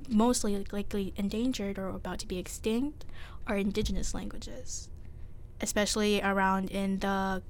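A woman's voice: mid-range voice, fairly even intonation, normal speed, a little hiss or hum. A young woman hosting a casual student podcast, chatty and often restarting her sentences. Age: young adult. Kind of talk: casual classroom-style podcast chat.

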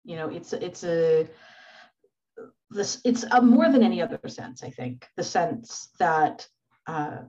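A woman guest answers interview questions in a thoughtful, searching way, with plenty of fillers. Her voice is somewhat low, and the recording sounds dull and muffled, as if it came over a remote link. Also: lively intonation, takes it slowly, darkish tone. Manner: thoughtful, searching, many fillers and small laughs. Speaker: podcast guest. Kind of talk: conversational interview.

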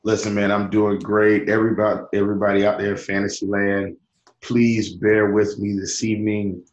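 A man from the US East Coast speaks with a hoarse, scratchy voice, a little low in pitch. He talks eagerly with stammering restarts. His voice sounds roomy, with an echoey room around it. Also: flat delivery, moderate pace, muffled recording. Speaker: podcast guest analyst. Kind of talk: fantasy basketball podcast chat.

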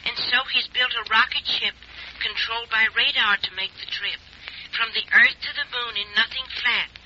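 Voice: mid-range voice, steady tone, moderate pace, natural voice. An actor voicing a whimsical, eager little spaceman who speaks in sing-song rhyme in a 1940s radio serial. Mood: chirpy, eager. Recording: old muffled noisy radio recording.

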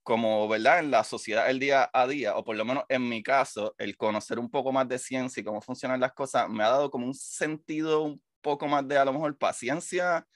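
A man's voice: medium pitch, steady tone, brisk, hardly any pauses, dry recording, studio quality. A man hosting an interview, enthusiastic and hesitant, with many fillers. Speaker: interview host. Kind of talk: casual interview conversation.

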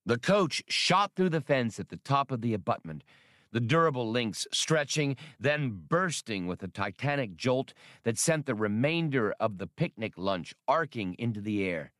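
A man's voice: mid-range voice, big pitch swings, moderate pace, a bit bright, no room sound, studio quality. A man reading an adventure novel as scripted, dramatic audiobook narration.

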